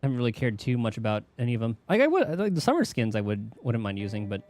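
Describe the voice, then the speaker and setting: A man's voice: medium pitch, huge pitch swings, quick pace, a little mellow. A man giving casual, rambling gameplay commentary as a streamer, in an offhand way. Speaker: game streamer.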